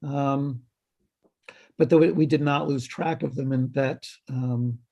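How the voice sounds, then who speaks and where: A man's voice: medium pitch, fairly even intonation, gravelly, mellow, highs cut off. Hesitant man on a video call. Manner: halting, many ums and restarts. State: slightly hoarse. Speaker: health department staff presenter. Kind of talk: committee meeting update.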